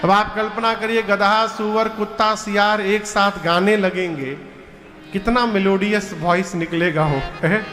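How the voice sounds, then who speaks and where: A man's voice: high-pitched, steady tone, unhurried, sharp tone, long reverb tail. A man telling a devotional story as a sermon, joking and drawing responses from his listeners. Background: devotional music with instruments.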